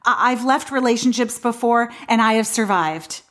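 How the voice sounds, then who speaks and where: Actress as a disillusioned woman, higher-pitched voice, hesitant, stammering, guarded, naturalistic podcast drama. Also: normal speed, a bit bright, close to an everyday voice. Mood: exasperated, insistent. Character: weary, disillusioned woman who stopped believing in soulmates.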